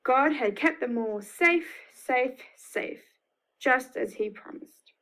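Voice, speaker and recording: Slow, higher-pitched Australian woman reading stories. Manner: expressive, emphatic repetition with sound effects. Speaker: children's storyteller. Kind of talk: picture-book read-aloud for young children.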